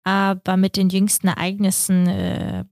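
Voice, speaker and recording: A young woman from Kazakhstan, a native Russian speaker, calmly explaining in a podcast conversation. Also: mid-range voice, a little slow, no echo, clean studio sound. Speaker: podcast co-host.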